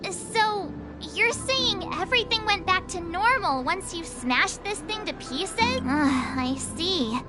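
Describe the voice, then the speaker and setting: Game role: earnest, flustered girl, very high and slow with lively intonation, music behind. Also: full character voice. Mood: puzzled, earnest. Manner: hesitant, earnest. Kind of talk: video game character acting.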